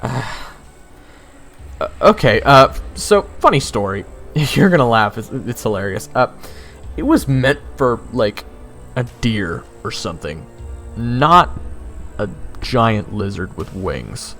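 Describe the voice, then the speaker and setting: A man's voice: medium pitch, big pitch swings, takes it slowly, fairly bright tone, soft background music, heavily acted. A man playing a blustering but nervous human scout in a fantasy audio drama. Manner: bravado that gives way to stammering.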